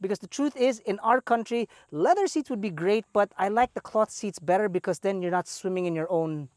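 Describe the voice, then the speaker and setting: A man's voice: high voice, fairly quick, darkish tone, no room sound, clean studio sound. A man with a Filipino English accent hosting a car review walkaround, chatty and playful with enthusiastic asides.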